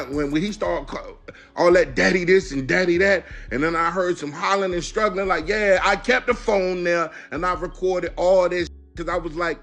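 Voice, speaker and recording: A man's voice: high voice, gravelly, a bit bright. A man recounting what he witnessed in casual street slang, blunt and repeating himself. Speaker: person giving an eyewitness account.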